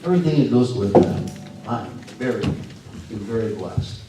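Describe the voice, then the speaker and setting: A man with a scratchy voice giving a folksy, earnest talk at a church and answering questions, halting often with fillers and restarts. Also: on the low side, expressive. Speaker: guest speaker. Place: church sanctuary. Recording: echoey hall from far off.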